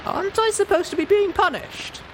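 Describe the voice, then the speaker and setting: A man's voice: very high voice, fairly quick, slight background noise, voice pushed up. A man voicing a small, anxious young pony heroine with a fretful, earnest manner in an audiobook story. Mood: anxious, hesitant.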